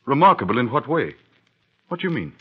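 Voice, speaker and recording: An actor playing a hearty, easygoing, skeptical army captain in an old-time radio drama. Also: male voice, medium pitch, very animated, brisk. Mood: guarded. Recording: dull, husky-sounding old radio recording.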